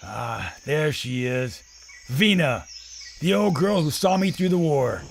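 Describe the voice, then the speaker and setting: Casting: male actor as cocky pulp adventurer-filmmaker, high and swooping delivery, old-time slang. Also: sing-song, takes it slowly, gravelly, background noise, exaggerated voice. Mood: breezy, confident. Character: brash adventurer filmmaker and war veteran pilot. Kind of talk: pulp adventure audio drama acting.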